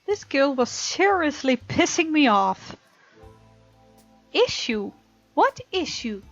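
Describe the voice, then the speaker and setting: A woman hosting a let's play, reading game text aloud dramatically and reacting with animated sass. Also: sits high, slow pace, no echo. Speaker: gaming channel host. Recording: slightly dull, lo-fi, game music behind. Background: soft game music.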